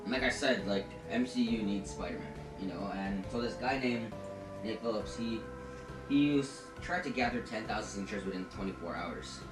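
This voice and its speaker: A man's voice: lowish voice, normal speed. Echoey, distant male podcast co-host, casual. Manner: informal, slangy, sweary. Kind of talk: casual chat about films and pop culture. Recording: echoey room, distant mic, music behind. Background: music.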